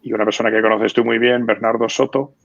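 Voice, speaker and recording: A man's voice: on the low side, little pitch movement, quick pace, vocal fry, lo-fi sound. A man in his fifties from the United States, a guest in a conversational interview, telling stories in an easy way with many fillers and restarts.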